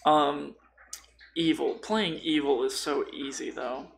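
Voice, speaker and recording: A man's voice: on the high side, steady tone, slow, vocal fry, slight background noise. A man chatting casually and conversationally as a podcast co-host. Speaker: podcast co-host discussing tabletop role-playing.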